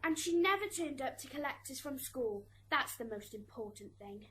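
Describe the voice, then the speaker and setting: A woman's voice: sits high, animated, normal speed, fairly bright tone. A woman acting a resentful, self-justifying schoolgirl daughter in an amateur radio drama. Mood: indignant. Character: resentful schoolgirl daughter testifying in afterlife court. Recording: hissy amateur recording, background noise.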